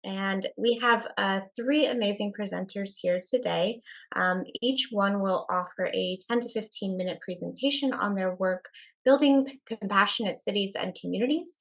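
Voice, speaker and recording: Woman moderator, mid pitch, measured with fillers, muffled lo-fi call audio. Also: gravelly. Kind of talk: reading panel introductions.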